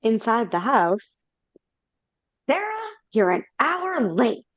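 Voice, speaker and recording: A woman's voice: fairly high pitch, sing-song, slow, dry recording. A woman reading stage directions and the stepmother's lines in a live video-call read-through, in a plain, matter-of-fact way. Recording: dull, dry video-call audio.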